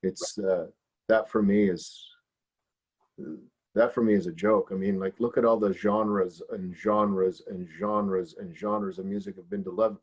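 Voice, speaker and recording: Male producer guest, husky and low-pitched, animated and opinionated, slangy. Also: a little slow. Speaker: music producer, panel guest. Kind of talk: casual opinionated panel talk. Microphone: video call.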